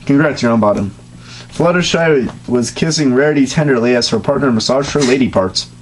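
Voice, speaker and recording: Deadpan young man reading aloud. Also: a little fast, slight background noise, low-quality recording. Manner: deadpan reading broken by fits of high laughter. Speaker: dramatic reader. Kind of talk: comedic dramatic reading of fan fiction.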